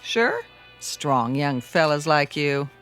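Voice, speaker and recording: An actress playing a friendly, teasing roadside diner waitress in a radio drama, with folksy, breezy, flirty small talk. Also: female voice, low voice, sing-song, slow pace, fairly bright tone, faint music behind, exaggerated voice.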